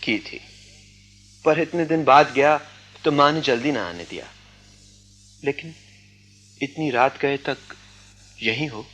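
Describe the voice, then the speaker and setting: A man acting a stoic, earnest young man in a radio drama, speaking in a measured, philosophical way. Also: mid-range voice, a bit bright, soft background music. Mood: concerned, earnest.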